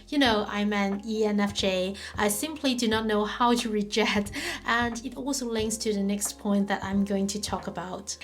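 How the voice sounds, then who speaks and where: Young hong kong woman vlogging, kinda high voice, chatty and upbeat, talks right at you. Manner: upbeat, chatty, direct questions to viewer. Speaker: language vlogger. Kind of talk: scripted talk to camera.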